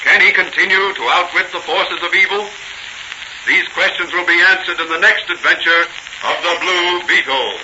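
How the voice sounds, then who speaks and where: A man's voice: high voice, steady tone, normal speed, very noisy. A man announcing a 1940s radio serial, reading scripted narration in a declamatory way. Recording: dull 1940 radio recording. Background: music.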